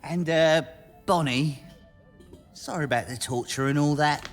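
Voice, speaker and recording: Low-voiced, tipsy jailer. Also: female voice, measured pace, soft background music. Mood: sheepish. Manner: casual, awkward, working-class. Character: scruffy, tipsy male prison turnkey, awkward and matey. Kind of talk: audio drama acting.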